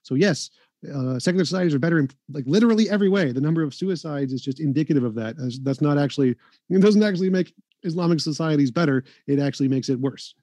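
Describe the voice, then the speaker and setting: A man in a heated live debate, talking insistently and fast, often cutting in over the other speaker. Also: on the high side, dark tone, dry recording, studio quality. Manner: insistent, rapid-fire, talks over others.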